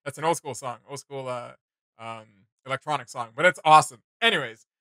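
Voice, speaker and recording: A young man chatting casually as a reaction video co-host, enthusiastic and animated, with slangy phrasing. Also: mid-range voice, a little fast, no room sound. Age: young adult. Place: small indoor room with gaming setup.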